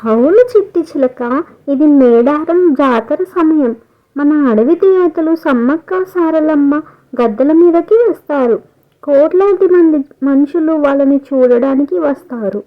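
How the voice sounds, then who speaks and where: A woman's voice: sits high, expressive, unhurried, pitched above the performer's own voice. A woman voicing a mother bird who explains things emphatically to her chick in a children's cartoon story. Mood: explaining, excited. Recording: thin phone-like sound.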